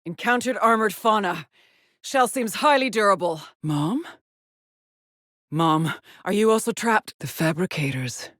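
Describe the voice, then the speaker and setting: An actress playing a weary, determined lone space explorer in a video game, with a measured, solemn, haunted delivery. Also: female voice, mid-range voice, lively intonation, fairly bright tone, no room sound, studio quality. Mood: urgent reporting, then pleading, defiant. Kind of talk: dramatic game voice lines, short log entries.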